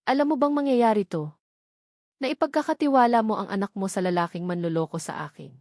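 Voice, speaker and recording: A woman's voice: mid-range voice, a little fast, a little mellow, dry recording, natural voice. Lady narrator as betrayed sad young woman in a love story, restrained and hurting, dry close sound. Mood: hurt, bitter. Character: heartbroken young woman, betrayed, sorrowful and resolute.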